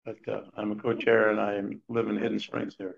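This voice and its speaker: A hoarse man with little voice, chairing a board meeting briskly. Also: on the low side, completely flat delivery. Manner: brisk and procedural. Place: meeting room. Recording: dull lo-fi meeting recording.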